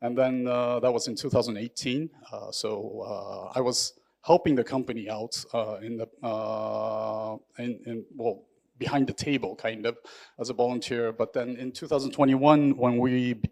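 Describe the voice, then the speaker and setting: A man from Japan in his thirties, introducing himself on a panel in halting speech full of fillers and restarts. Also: medium pitch, fairly even intonation, creaky, darkish tone. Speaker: panelist, startup executive.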